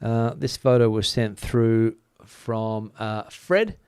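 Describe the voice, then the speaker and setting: Chatty middle-aged man, smooth dry voice. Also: fairly low pitch, slow, a little mellow, dry recording. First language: English. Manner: chatty and rambling, many "uh" fillers. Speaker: news channel host. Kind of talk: casual live-stream commentary. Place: home studio in front of a backdrop picture.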